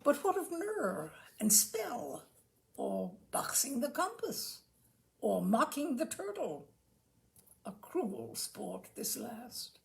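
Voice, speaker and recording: Woman, story-reading host, higher-pitched, very animated intonation, deliberate phrasing, literary read-aloud style. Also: gravelly. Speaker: host and reader of a short-story reading show. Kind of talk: live literary reading aloud with informal introductions.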